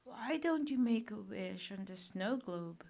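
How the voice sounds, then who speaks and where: A woman voicing a kindly old grandfather mouse in a storybook, fond and unhurried as he reminisces. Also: medium pitch, expressive, gravelly, a little mellow.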